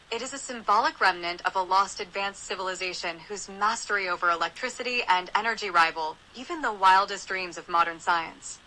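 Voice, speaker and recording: A woman's voice: mid-range voice, bright, forceful tone, a little hiss or hum, poor audio. A synthetic text-to-speech woman's voice reading scripted narration aloud.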